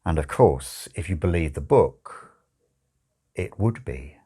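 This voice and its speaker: A man narrating a comic novel as an audiobook, with wry, expressive storytelling. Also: sits low, takes it slowly, breathy edge. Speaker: audiobook reader.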